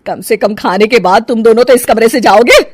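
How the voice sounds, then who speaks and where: A woman's voice: a little high, fairly quick, no room sound, clean studio sound, natural voice. A woman voicing an older, emotional mother-in-law in a cartoon story, scolding and plaintive by turns. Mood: stubborn, scolding. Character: older stubborn, emotional mother-in-law pining for her son. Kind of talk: animated cartoon story dialogue.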